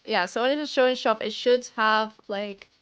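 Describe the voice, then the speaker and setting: A woman's voice: on the high side, normal speed, fairly bright tone, clear, resonant tone, muffled recording. A woman streaming live, patiently explaining a video game step by step to a newer player. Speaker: streamer coaching a new player.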